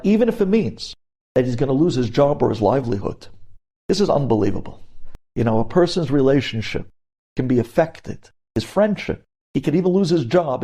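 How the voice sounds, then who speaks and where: Guy rabbi doing a sermon, mellow voice, mid pitch, really leans on his points and talks right at you. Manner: emphatic, rhetorical, addresses listeners directly. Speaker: rabbi giving a religious lesson. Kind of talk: sermon, religious ethics lecture with stories.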